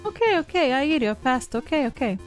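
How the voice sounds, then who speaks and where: Lively high-voiced woman streaming a game. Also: fast, faint music behind, rough recording. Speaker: livestreamer. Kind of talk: casual gameplay stream chat.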